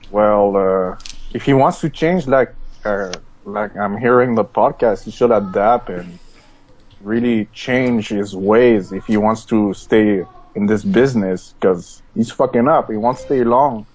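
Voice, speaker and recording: A man's voice: on the low side, darkish tone, muffled recording, a little hiss or hum. A man calling in to a podcast, talking loosely and rambling, swearing freely. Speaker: call-in guest. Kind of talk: casual podcast chat.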